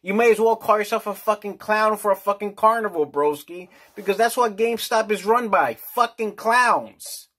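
Brash middle-aged man ranting with a husky voice. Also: high-pitched, normal speed, few pauses, fairly bright tone. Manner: brash, crude, slangy, rambling. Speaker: vlogger. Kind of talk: casual profane rant. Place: small office storeroom, indoors.

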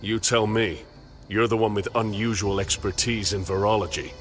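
A man playing a gruff, blunt, hot-tempered armoured soldier in a video game, with terse delivery. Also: on the low side, fairly even intonation, normal speed, a bit bright, faint music behind, lightly acted. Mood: gruff, suspicious. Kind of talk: video game character dialogue.

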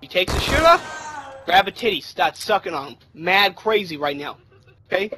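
Young male gamer, high-pitched, spinning a foul-mouthed anecdote with drawn-out pauses over noisy voice chat. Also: big pitch swings. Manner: profane, crude, drawn-out delivery with emphatic pauses. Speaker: storyteller among gamers. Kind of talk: casual anecdote during online game chat. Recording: noisy game voice chat with clicks. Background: video game sounds and announcer.

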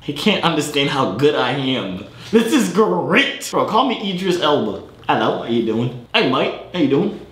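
A young man hosts a comedy vlog from a bedroom studio, talking to the camera at arm's length. He swings between a put-on, high-pitched British voice and a lower, laid-back drawl. His voice rises and falls a lot, and the room gives it a clear echo. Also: big pitch swings, moderate pace, fairly bright tone. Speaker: comedy video host.

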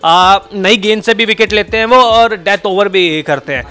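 Bright-voiced man explaining cricket, high-pitched. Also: moderate pace, clean tone. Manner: thinks aloud with many fillers and restarts, explaining at length. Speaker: cricket analyst. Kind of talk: studio panel discussion. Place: indoor TV studio set. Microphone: handheld mic.

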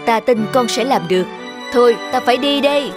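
An actor voicing a wise, authoritative mentor in a children's cartoon, speaking in a calm, measured, instructive way. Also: mid-range voice, music in the background, close to an everyday voice. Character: calm, wise, authoritative fairy-tale mentor teaching a pupil. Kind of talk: animated children's fairy tale acting.

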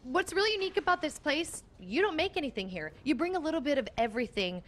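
A woman's voice: high voice, animated, fast. A young woman field reporter doing a bubbly, upbeat live morning news segment inside a coffee shop, speaking into a handheld mic.

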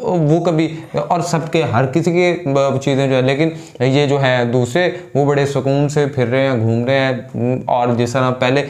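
A man's voice: medium pitch, steady tone, measured pace, few pauses, a little mellow. A young man from Pakistan, co-hosting a reaction video in casual, rambling commentary full of fillers and restarts. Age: young adult. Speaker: reaction channel co-host. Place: small indoor room. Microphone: lapel mic. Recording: roomy small-room echo.

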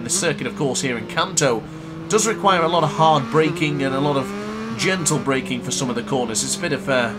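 A young man with a cold, brisk and congested, commentating a motorbike race in a game. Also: mid-range voice. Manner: brisk commentator-style narration, self-correcting. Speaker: gaming commentator. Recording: loud game music behind.

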